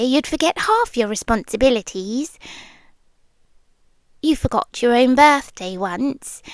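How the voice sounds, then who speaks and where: A British woman playing an obsessive, possessive girlfriend in an intimate ASMR roleplay, softly menacing and teasing, sometimes slipping into a whisper. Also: high voice, no echo, exaggerated voice. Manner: slow, teasing, softly menacing, with lingering pauses. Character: obsessive possessive yandere girlfriend, a menacingly affectionate voice in your head. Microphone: close microphone, dry sound.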